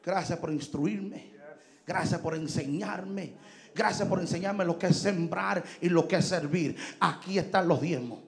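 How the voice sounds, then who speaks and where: Preacher, male, 30s, high gravelly voice, shouts in bursts, handheld mic in a church, faint music behind. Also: measured pace. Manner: shouting, emphatic, switching between Spanish and English. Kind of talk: Pentecostal sermon. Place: large church stage indoors. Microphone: handheld microphone.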